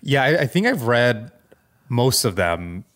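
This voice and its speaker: A man's voice: medium pitch, sing-song, unhurried, a bit bright. A man co-hosting a podcast, chatting informally with hesitant, loosely built sentences. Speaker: podcast co-host. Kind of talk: casual podcast chat.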